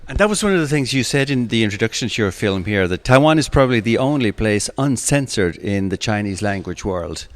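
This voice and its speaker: A man's voice: on the low side, animated, fairly quick, few pauses, dry recording. A man hosting an interview in a conversational style, putting questions and comments to his guest. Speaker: interviewer, host.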